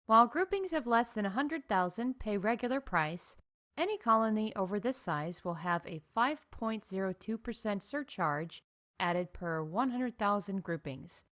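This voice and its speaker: A woman reading scripted comedic announcements in a playful, mock-official deadpan as the announcer of a news show. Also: mid-range voice, normal speed. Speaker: announcer of a fictional theme park news show.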